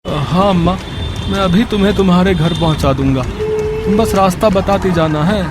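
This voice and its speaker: Man voicing a high-pitched, earnest poor rickshaw puller. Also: normal speed, loud music behind the voice, lightly acted. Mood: helpful. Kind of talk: Hindi moral-story cartoon dialogue.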